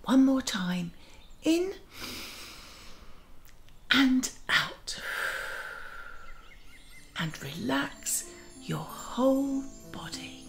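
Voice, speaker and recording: A woman playing a gentle, kindly magical garden auntie who leads a children's guided meditation in a soothing, often near-whispered voice. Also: sits high, very animated, very slow, vocal fry, long pauses, exaggerated voice. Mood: soothing, hushed. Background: music.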